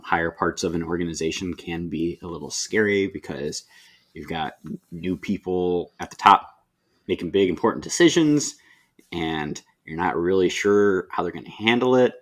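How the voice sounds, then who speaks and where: A man co-hosting a podcast in casual chat, speaking in a measured, thoughtful way. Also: low-pitched. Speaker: podcast co-host.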